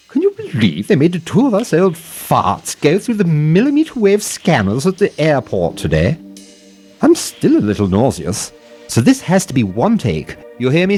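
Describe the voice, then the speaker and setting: A woman's voice: sits low, darkish tone. An actor as a bossy, swooping old grandmother. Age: older. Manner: imperious, demanding, sarcastic. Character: bossy, cranky elderly grandmother, haughty and theatrical. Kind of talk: audio drama acting.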